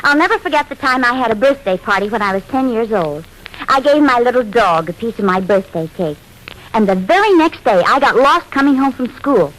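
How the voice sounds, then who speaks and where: A woman's voice: fairly high pitch, expressive, fairly quick, fairly bright tone. An actress playing a sensible, dryly teasing wife and lady of the house in a 1940s radio sitcom. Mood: wry, chatty. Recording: noisy vintage radio recording.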